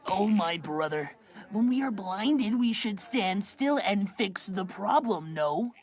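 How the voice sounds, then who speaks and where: A woman's voice: medium pitch, lively intonation. Woman as a pompous scheming cartoon villain boy. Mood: smugly devious. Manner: pompous, gloating, drawn-out menacing delivery. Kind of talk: theatrical cartoon villain fandub. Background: loud music.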